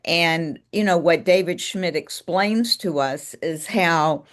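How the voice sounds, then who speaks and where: A woman's voice: lowish voice, takes it slowly. A woman hosting a live webinar over a video call, encouraging callers and leaning on "you know" fillers. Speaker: webinar host.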